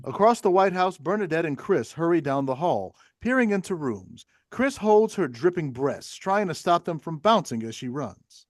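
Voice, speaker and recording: A man's voice: on the high side, lively intonation, moderate pace, hardly any pauses, dry recording, clean studio sound. A man reading stage directions aloud as scripted narration for a play.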